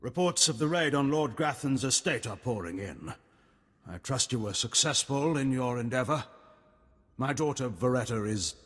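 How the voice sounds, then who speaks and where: Imperious admiral, forceful raspy voice, formal, emotional, theatrical game acting, long reverb. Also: male voice, medium pitch, animated, normal speed. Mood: stern, expectant. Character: imperious Sith admiral, anguished doting father.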